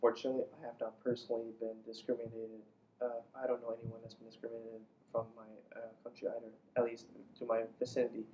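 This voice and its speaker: A man's voice: medium pitch, completely flat delivery, quick pace, mellow, highs cut off. A young man from Iran, a native Farsi speaker, giving earnest, slightly formal explanatory answers as an interview guest. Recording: distant mic, faint background noise.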